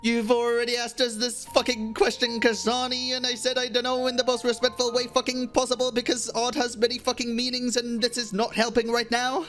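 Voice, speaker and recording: A man's voice: extremely high pitch, completely flat delivery, a little fast, few pauses, a bit bright. A young man giving casual live game commentary as a streamer, with sweary, sarcastic asides and slang. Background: game music.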